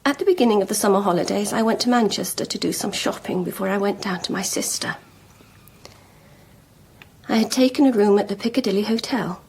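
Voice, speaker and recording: An actress playing a proud, lovelorn schoolmistress in a period radio drama, speaking frankly and deliberately with emotional intensity. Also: female voice, mid-range voice, darkish tone, slightly rough. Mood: confessing. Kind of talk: BBC radio drama acting.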